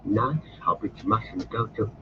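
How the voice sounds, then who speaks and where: A man voicing a wretched, twitchy little male creature in a shrill, whining voice that cracks into squeals. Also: animated, takes it slowly, muffled recording, a little hiss or hum. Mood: fearful.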